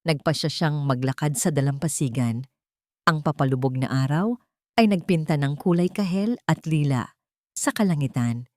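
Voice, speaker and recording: Deep-voiced woman narrating a dramatic story. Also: normal speed, dark tone, no echo, studio quality.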